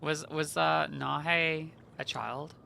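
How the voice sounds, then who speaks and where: A man's voice: fairly high pitch, fairly even intonation, very slow, vocal fry, bright, forceful tone, a little hiss or hum. A man streaming a game and chatting over it with joking asides. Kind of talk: live game stream commentary.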